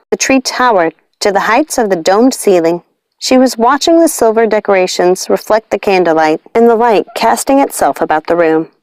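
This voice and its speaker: A woman reading a story aloud as scripted narration, in an expressive storytelling style. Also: mid-range voice, no room sound. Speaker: storybook reader.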